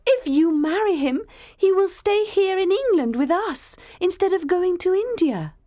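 A woman acts a caring, excitable young sister in a storybook drama. Her voice is high and very animated, sympathetic in manner, recorded dry. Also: takes it slowly, no room sound, heavily acted. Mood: delighted. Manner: expressive, sympathetic.

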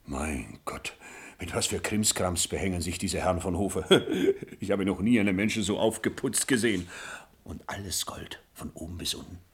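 Rambling, deep-voiced husky peasant bumpkin. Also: male voice, exaggerated voice. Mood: excited, boastful. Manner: halting, rambling, exclaiming storyteller. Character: simple, boastful, good-natured peasant man telling his tale. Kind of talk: German radio play acting of classic comedy.

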